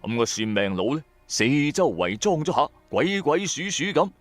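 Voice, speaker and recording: A man's voice: a little high, sing-song, moderate pace, dry recording. A man playing a bankrupt, hot-tempered trader who narrates his own story in colloquial, emphatic style for a radio drama. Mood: uneasy. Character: bankrupt male electronics trader, hard-pressed, hot-tempered, streetwise. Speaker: first-person narrator and lead. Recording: background music under voice. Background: music.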